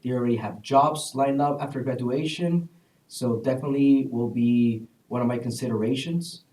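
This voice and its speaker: A young man from El Salvador with a mellow, warm voice answers panel questions earnestly and drops in an "uh" here and there. He sounds set back from the mic in a roomy, echoey space. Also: medium pitch, normal speed. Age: young adult. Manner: earnest, recommending things with frequent "uh" fillers. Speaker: student panelist. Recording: echoey room, distant mic.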